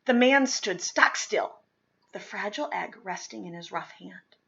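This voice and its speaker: Female storyteller for children's read-aloud: bright tone, mid pitch, expressive and deliberate phrasing, somewhat lo-fi sound. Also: vocal fry, slight background noise. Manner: expressive, deliberate phrasing with dramatic pauses and emphasis. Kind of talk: dramatic children's picture-book read-aloud.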